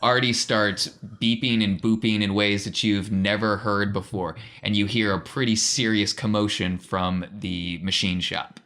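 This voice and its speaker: A man with a fairly low voice runs a tabletop game. He narrates casually as game master, with playful and informal descriptions. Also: flat delivery, measured pace, few pauses, sharp tone.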